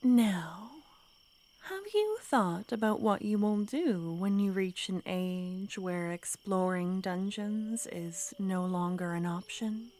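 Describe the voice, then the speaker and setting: Woman doing a possessive flirty witch, whispered ASMR, very slow delivery, teasing tone. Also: mid-range voice, lightly acted. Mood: intimate. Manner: soft, teasing, often whispered. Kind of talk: ASMR roleplay.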